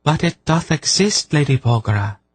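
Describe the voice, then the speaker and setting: A man's voice: a little high, animated, slightly rough, no echo, voice pushed up. A man voicing an ancient blindfolded seeress. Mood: earnest. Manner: archaic formal diction, slow drawn-out pleading. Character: blindfolded woman seeress, solemn, ancient speech.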